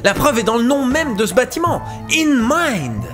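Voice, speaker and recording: Actor playing start-up boss, pompous, unctuous, scheming, theatrical flattery, audio comedy, light music bed. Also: high-pitched, moderate pace. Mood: grandly flattering. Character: smooth-talking, scheming start-up boss flattering rebellious staff. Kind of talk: French amateur audio comedy acting.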